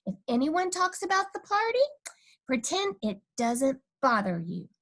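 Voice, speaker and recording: Woman as storybook mother, high voice, gentle, instructive, reassuring. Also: sing-song, a little slow, exaggerated voice. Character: reassuring mother advising her young daughter. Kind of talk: children's storybook read aloud.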